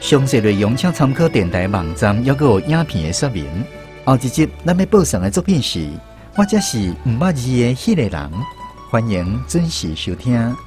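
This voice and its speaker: A man's voice: medium pitch, big pitch swings, normal speed. A man with a Taiwanese accent presenting a radio programme as its host, reading scripted segments and credits. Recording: music playing behind the voice. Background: background music.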